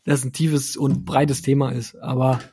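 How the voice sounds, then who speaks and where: A man co-hosting a podcast, talking casually in a hesitant, halting way. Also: medium pitch, flat delivery, warm tone, slightly rough. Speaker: podcast co-host. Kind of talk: casual chat.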